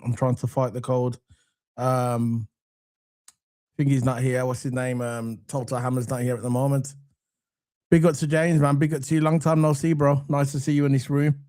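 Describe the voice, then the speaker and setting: A man hosting a casual football live stream, croaky from a cold, loose and chatty with lots of ums as he talks to the chat. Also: mid-range voice, level tone, moderate pace. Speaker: football channel host. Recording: faint background music under voice. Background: soft background music.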